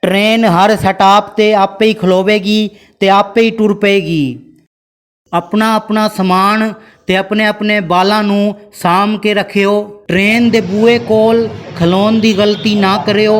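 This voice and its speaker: Comic male train announcer acted by a man, Pakistani Punjabi accent, mock-polite, instructing, high and unhurried. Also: flat delivery. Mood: folksy, welcoming. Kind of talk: parody public transport announcement.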